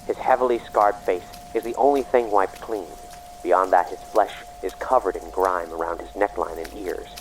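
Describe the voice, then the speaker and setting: A man's voice: low voice, fairly even intonation, moderate pace, soft background music, close to an everyday voice, rough recording. A man playing a grave storyteller, narrating a scripted horror mystery audio drama. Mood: grave, suspenseful.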